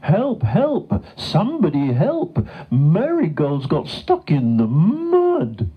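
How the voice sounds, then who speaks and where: A man's voice: high voice, huge pitch swings, slow, slightly rough, narrow, tinny audio, voice pushed up, heavily acted. A man voicing a frantic, loyal female cow in a children's story, calling out urgently and insistently.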